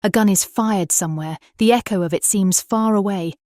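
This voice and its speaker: A woman playing a gentle young married woman speaking slowly and dreamily in a gothic audiobook drama. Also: medium pitch, no room sound, studio quality, close to an everyday voice. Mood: entranced, eerie.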